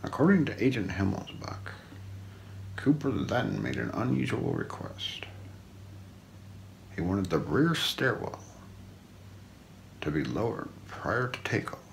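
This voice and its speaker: A middle-aged man narrates a mystery in an ASMR documentary style. His voice is very low and creaky, with intonation that swings widely from phrase to phrase. He keeps a measured, solemn storytelling delivery. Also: sing-song, a little hiss or hum, rough recording. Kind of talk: ASMR scripted narration, true-crime documentary role play. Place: small indoor room.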